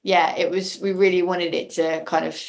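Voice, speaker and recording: A woman's voice: lowish voice, level tone, normal speed, gravelly. A woman chatting casually and enthusiastically as a guest in a podcast interview, effusive about the audio drama work.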